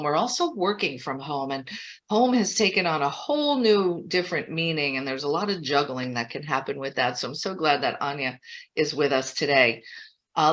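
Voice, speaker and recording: A woman's voice: on the low side, sharp tone. A woman hosting an online interview show, introducing her guest with enthusiasm. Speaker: host.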